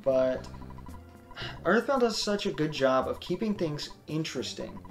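Monotone young man narrating gameplay. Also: on the high side, unhurried, faint music behind. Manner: self-described monotone, rambling explanations. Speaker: let's play host. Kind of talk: casual gameplay commentary.

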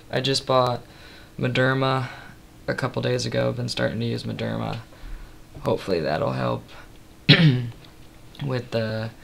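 A young man talks into his webcam in a small room late at night, sounding worn out. His voice has a heavy gravelly fry and a little rasp, and he rambles along in a soft, low-key way with lots of ums. A faint hiss sits under the recording. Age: 20s. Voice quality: slightly raspy from tiredness. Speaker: vlogger. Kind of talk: casual webcam update. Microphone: built-in webcam mic at close range.

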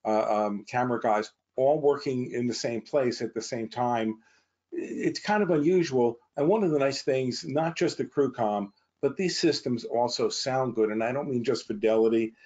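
A man's voice: medium pitch, normal speed, a little mellow, muffled recording. A man speaking as a company guest, informally explaining technical matters on a webinar over a video call.

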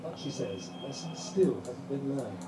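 A man's voice: mid-range voice, animated, moderate pace. A man narrating a scripted news report as a correspondent, heard from far off in a noisy, echoey recording. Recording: distant, echoey playback with heavy noise.

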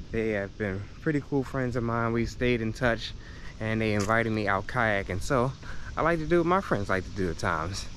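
A man's voice: fairly low pitch, fairly bright tone, poor audio. Chatty Philly man vlogging outdoors. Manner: chatty and upbeat, addressing viewers as y'all. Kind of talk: casual vlog talk to camera. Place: outdoors in a park on a sunny day. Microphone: handheld action camera at arm's length while walking. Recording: outdoor noise, insects and traffic. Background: cicadas, insects and passing traffic.

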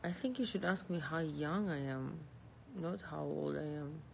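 A woman's voice: sits low, measured pace, gravelly, a little hiss or hum. A woman in her thirties from Russia, speaking with a Russian accent as she answers viewer comments on a casual live stream from her bed. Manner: relaxed, lying back, reading comments aloud and answering. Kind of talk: casual live chat, switching between languages. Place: bedroom, lying against pillows indoors. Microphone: phone held close.

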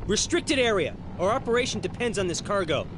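A man's voice: high-pitched, sing-song, brisk, noisy background. An actor playing an eager, earnest young crewman in a fantasy video game. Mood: officious.

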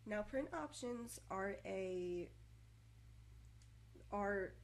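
A woman reads a scripted code walkthrough slowly, in a mid-range voice. She stops often with long pauses, repeats words and over-enunciates each consonant as she pushes through a stutter. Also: medium pitch, animated. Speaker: software tutorial narrator. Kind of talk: scripted screencast narration.

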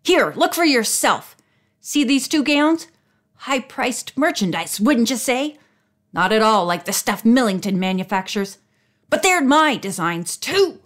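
A woman's voice: a little high, moderate pace, fairly bright tone. A woman voicing an angry, threatening male fashion designer in a storybook, snarling and accusatory. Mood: angry, indignant.

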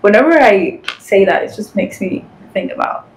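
A young woman with a Spanish accent chats over a video call in her own home, quick and fill-heavy, dropping "like" everywhere. Her voice sits at an ordinary middle pitch, relaxed and conversational. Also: low-quality recording. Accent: Spanish-speaking. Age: young adult. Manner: chatty, fast, many "like" fillers. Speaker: guest. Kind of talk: casual interview chat. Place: home room with city windows.

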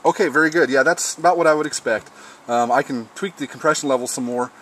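Man, mid pitch, casual ham radio operator, outdoors, faint background noise. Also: fast talker, a bit bright. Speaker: amateur radio operator testing a rig. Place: outdoors on a patio table beside a lawn.

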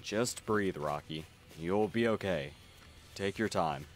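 Damien, deep-voiced gentle goth guy played by a man. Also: slow, soft background music. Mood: calm, caring. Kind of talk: ASMR roleplay acting.

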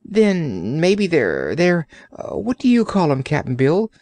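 A woman voicing a calm, helpful young girl in a storybook reading. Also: sits low, takes it slowly, dry recording, pitched above the performer's own voice, full character voice.

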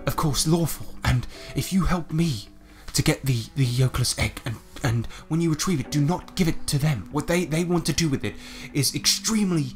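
A man's voice: fairly high pitch, moderate pace, hardly any pauses, soft background music, natural voice. A man voicing a secretive female conspirator in a tabletop game, hesitant, earnest and insistent. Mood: urgent warning. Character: secretive, urgent female conspirator pleading for trust.

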